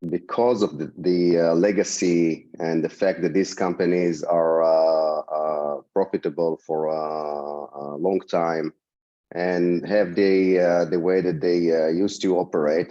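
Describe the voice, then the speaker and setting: Hoarse low-voiced man, halting speech. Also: dark tone, highs cut off. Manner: hesitant, many fillers and restarts. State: hoarse from a cold. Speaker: panelist. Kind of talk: panel discussion answer. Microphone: video call.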